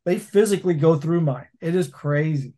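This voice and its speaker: A man's voice: fairly high pitch, normal speed. A man in his forties, a laundromat owner telling stories in a casual interview over a video call from a home office. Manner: storytelling, animated hand gestures.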